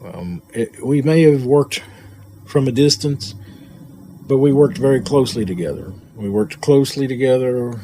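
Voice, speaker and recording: A man giving a personal spoken tribute to a friend, halting and reflective, repeating himself as he goes. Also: mid-range voice, expressive, warm tone, rough recording. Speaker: friend and colleague paying tribute. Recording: noticeable background noise.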